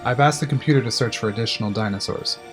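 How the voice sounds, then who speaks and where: A man's voice: mid-range voice, quick pace, music in the background, natural voice. A man acting a matter-of-fact, dry control room engineer in an audio drama. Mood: dry, uneasy.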